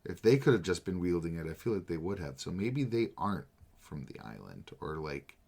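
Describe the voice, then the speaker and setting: A man's voice: low voice, very animated, brisk, gravelly, darkish tone, breathy edge. A man chatting casually as a podcast co-host, with a wry, sarcastic, profane way of talking. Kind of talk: casual banter about manga. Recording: noticeable background noise.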